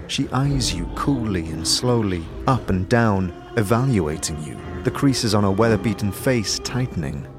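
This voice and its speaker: Male narrator for a fantasy audio series, lower register, scripted storytelling over a music bed. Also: lively intonation, unhurried, few pauses. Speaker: narrator and game master. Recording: music under the voice.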